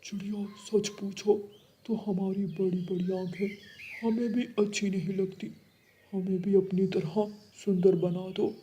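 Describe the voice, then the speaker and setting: A performer voicing a gullible, vain owl uncle in a children's cartoon, with a husky voice. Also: medium pitch, flat delivery, measured pace, mellow, slight background noise, lightly acted. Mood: wishful. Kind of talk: Hindi children's cartoon acting.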